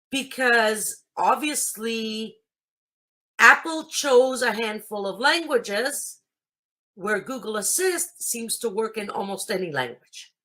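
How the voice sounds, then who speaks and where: A woman's voice: on the high side, pushed and bright. A woman whose first language is Greek, hosting a casual computer tutorial and chatting through each step as she thinks aloud. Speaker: host.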